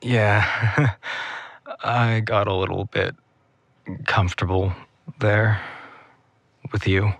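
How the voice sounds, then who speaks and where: A man's voice: lowish voice, steady tone, extremely slow, pauses often, a bit bright, dry recording, exaggerated voice. A man acting in an audio drama as an earnest, exhausted and protective older brother, speaking with feeling. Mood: weary, tender.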